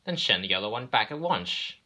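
A man's voice: fairly low pitch, sing-song, bright, forceful tone, breathy edge, pitched above the performer's own voice, full character voice. Man acting a stern, commanding railway director. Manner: commanding, deliberate. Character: stern, authoritative male railway director, patient but grim.

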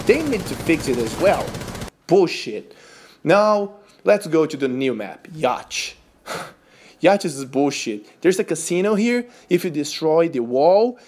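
A man's voice: sits high, a little mellow. A man from Brazil with a Brazilian Portuguese accent, speaking English as a second language in casual gameplay commentary, incredulous and laughing at game glitches. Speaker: gaming YouTuber.

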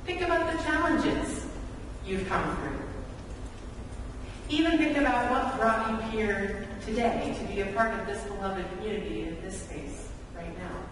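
A woman in her thirties preaching a sermon from a church pulpit in measured, deliberate phrases with rhetorical repetition. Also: mid-range voice, expressive. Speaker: pastor. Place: large church sanctuary, at the pulpit. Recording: echoey church hall, distant mic, background noise.

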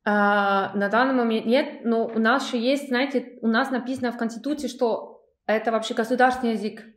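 A young woman with a fairly high voice asks her questions slowly, searching for words in a language that is not her first. She speaks over a video call, and the room around her sounds echoey. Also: fairly even intonation. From Switzerland. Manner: hesitant, searching for words, many fillers. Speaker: interviewer.

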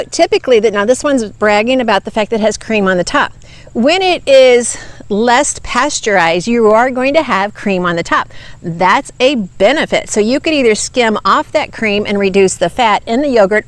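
A woman in her fifties speaks outdoors beside a wooded yard. She explains food labels earnestly and with emphasis, in a slightly high voice. Her speech is picked up close on a clip-on lapel mic. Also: lively intonation, moderate pace. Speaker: nutrition ministry host. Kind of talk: product review talk to camera. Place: outdoors by woods.

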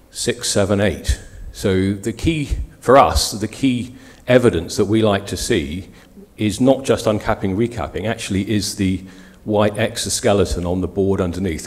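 A man's voice: on the low side, a little mellow. A man co-presenting on a convention panel, explaining points steadily into a handheld mic in a lecture hall.

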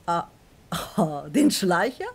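Casting: playful, chatty young maid for radio crime play, lowish voice, very animated delivery. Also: female voice, drawn-out words, vocal fry, slightly rough, exaggerated voice. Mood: amused, gossipy. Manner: giggly, playful. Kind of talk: German radio crime drama acting.

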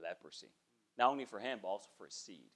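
A man's voice: lowish voice, quick pace. A man in his forties preaching a sermon in a small church, talking conversationally and often checking in with "okay?". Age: 40s. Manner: conversational preaching, frequent "okay?" check-ins, animated gestures. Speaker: pastor. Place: small church sanctuary, indoors.

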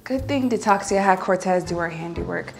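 A woman's voice: lowish voice, a little fast, vocal fry, over loud music. A woman playing a bossy, confident young girlfriend with a sassy, commanding manner.

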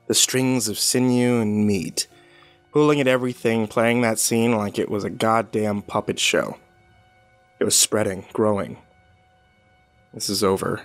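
Actor as weary, haunted horror protagonist, music behind. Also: male voice, fairly low pitch, natural voice. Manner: brooding, sweary, sardonic. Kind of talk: audio drama acting, first-person horror narration.

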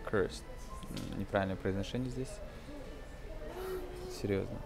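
A man's voice: sits low, fairly even intonation, measured pace, over loud music. A man in his twenties giving an informal English lesson, explaining hesitantly phrase by phrase. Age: 20s. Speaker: English teacher. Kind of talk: informal film-based language lesson.

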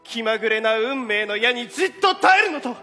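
A man's voice: very high voice, animated, fairly quick, sharp tone, exaggerated voice. An actor playing a dramatic, jumpy young man, declaiming theatrically in a comic Shakespeare stage play. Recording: stage recording with music.

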